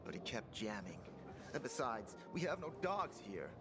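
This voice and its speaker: An actor playing a nervous young male apprentice inventor who rambles and swings between gloom and excitement, over game music. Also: medium pitch, normal speed, natural voice. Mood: fretful. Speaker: apprentice craftsman. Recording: game soundtrack music behind.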